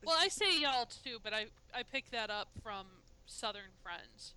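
A woman's voice: a little high, animated, measured pace, sharp tone, clear, resonant tone, low-quality recording. A woman chatting casually as a podcast co-host, emphatic and laughing often. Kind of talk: casual chat.

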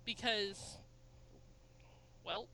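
A woman's voice: medium pitch, drawn-out words, vocal fry, pushed and bright, a little hiss or hum, rough recording. A woman chatting casually as a podcast co-host, emphatic and laughing often. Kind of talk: casual chat.

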